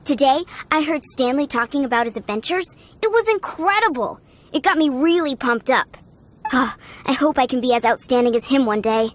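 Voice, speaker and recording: Eager, high-voiced young girl. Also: expressive, moderate pace. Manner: earnest, admiring, perky. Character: eager, starry-eyed young girl training as adventurer. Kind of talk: video game voice acting.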